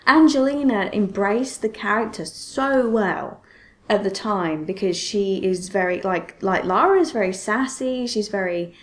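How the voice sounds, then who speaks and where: A woman's voice: medium pitch, unhurried. A woman hosting a podcast in casual chat, telling things expressively with frequent self-interruptions and exclamations. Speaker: podcast host.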